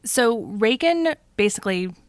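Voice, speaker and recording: A woman's voice: on the high side, animated, a little slow, no echo, clean studio sound. A woman co-hosting a history podcast, explaining events at length with frequent ums and checking in with her co-hosts. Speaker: co-host. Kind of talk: conversational history podcast discussion.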